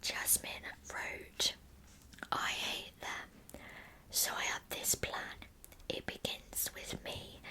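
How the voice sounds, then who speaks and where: Female ASMR storyteller, pure breathy whisper, slow delivery, soft and deliberate. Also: poor audio. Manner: soft, deliberate narration with chatty asides. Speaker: ASMR true crime host. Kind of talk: whispered ASMR storytelling of a true crime case.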